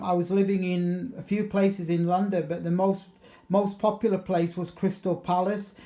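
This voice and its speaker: A man's voice: high voice, dark tone. A middle-aged man working as an amateur radio operator, chatting casually and rambling along with bits of radio jargon. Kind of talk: casual radio contact chat. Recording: roomy, echoey room sound.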